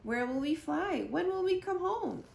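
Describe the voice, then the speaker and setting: A woman's voice: very high voice, lively intonation, unhurried, gravelly, a little mellow, reverberant, a little hiss or hum, pitched above the performer's own voice, exaggerated voice. A woman voicing a young male gosling, curious, anxious and affectionate, in a storybook reading. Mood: curious, worried.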